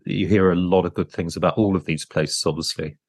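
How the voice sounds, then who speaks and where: A man's voice: low voice, fairly even intonation, brisk, a little mellow, no room sound, studio quality. A man hosting a conversational interview podcast as a lecturer, talking in a rambling, discursive way and often rephrasing himself as he goes. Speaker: podcast host and art business lecturer.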